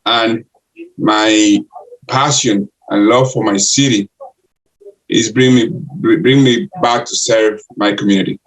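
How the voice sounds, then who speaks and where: An older man from the Republic, answering interview questions in halting English full of restarts. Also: fairly low pitch, steady tone, poor audio. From Dominican Republic. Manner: halting, many restarts and uh fillers. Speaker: guest, city councilor and candidate.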